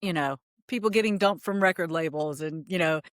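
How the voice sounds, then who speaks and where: A woman's voice: fairly low pitch, fairly quick, no echo, studio quality. A middle-aged woman hosting a podcast in a conversational interview style. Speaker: podcast host.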